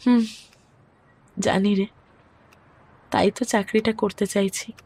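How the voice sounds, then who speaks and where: Slow, subdued grieving young widow played by a woman. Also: medium pitch, steady tone, a little mellow, breathy edge. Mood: subdued, hesitant. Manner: subdued, hesitant. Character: grieving young widowed mother, weary and reserved. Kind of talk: Bengali audio story acting.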